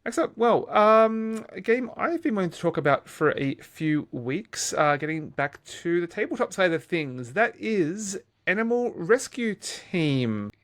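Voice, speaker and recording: A man's voice: high-pitched, big pitch swings, measured pace, hardly any pauses, fairly bright tone. A man co-hosting a podcast, talking casually about games in a wordy, stop-start way with repeated words. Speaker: podcast co-host. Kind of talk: casual game discussion.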